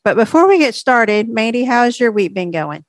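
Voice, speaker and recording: A woman's voice: on the high side, no echo. Stuffy-nosed woman podcast co-host, chatty. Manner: relaxed, chatty, drawn-out fillers. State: a little stuffy. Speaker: podcast co-host, registered dietitian. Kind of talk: casual chat.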